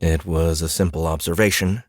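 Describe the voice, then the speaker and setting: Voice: sits low, steady tone, moderate pace, dry recording. An audiobook narrator reading scripted fantasy fiction in a studio. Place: dry studio.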